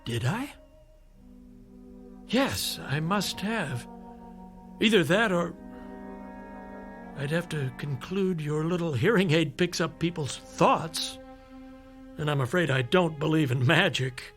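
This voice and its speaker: An actor playing a skeptical, fatherly doctor in a radio drama, speaking in a measured, patronizing way and coaxing with rhetorical questions. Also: on the high side, animated, a bit bright, soft background music. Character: male doctor, persuasive, skeptical, fatherly toward his patient.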